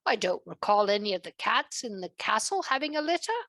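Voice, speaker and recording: A woman voicing a kindly, regal King Arthur in a storybook, with a measured British accent. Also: mid-range voice, very animated, no room sound, clean studio sound. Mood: thoughtful, amused. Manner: soft, measured, regal speech. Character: warm, kindly King Arthur, adult man, amused and regal.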